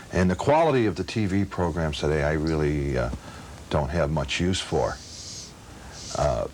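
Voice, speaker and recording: Deep-voiced man in casual interview. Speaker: old-time radio enthusiast interviewee. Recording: faint background noise.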